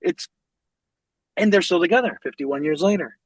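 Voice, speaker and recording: Animated, swearing man telling family stories. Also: high-pitched, normal speed, dry recording. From United States, Northwest Indiana. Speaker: podcast guest. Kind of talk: casual storytelling conversation.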